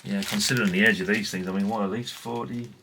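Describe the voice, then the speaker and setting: Low-voiced man, noisy recording. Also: fairly even intonation, quick pace. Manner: rambling, chatty, with asides. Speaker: vlogger showing vintage CB radios. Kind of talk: casual, unscripted chat.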